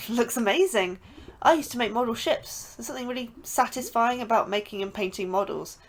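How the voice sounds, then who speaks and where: A woman's voice: on the high side, normal speed, lightly acted, low-quality recording. A woman playing a curious, chatty young science officer who likes to tease her colleagues in an audio drama.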